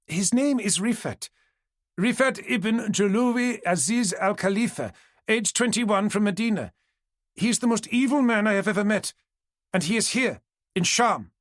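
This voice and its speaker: A man voicing a determined young woman who escaped captivity, speaking urgently and forcefully, often at a shout. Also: on the high side, a little fast, no room sound, clean studio sound, voice pushed up, close to an everyday voice. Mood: insistent.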